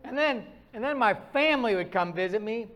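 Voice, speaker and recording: Middle-aged pastor, northern US accent, high tenor, wry self-mocking storyteller. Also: male voice, expressive, fairly quick, a bit bright. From Upper Midwest of the US. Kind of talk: sermon.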